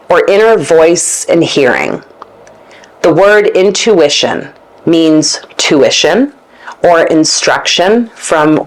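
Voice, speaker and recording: A woman hosting a meandering spoken monologue, reading passages aloud and thinking out loud with pauses and fillers. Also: fairly low pitch, rough recording. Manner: meandering, searching, with fillers and self-corrections; reads passages aloud from a book. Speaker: esoteric channel host.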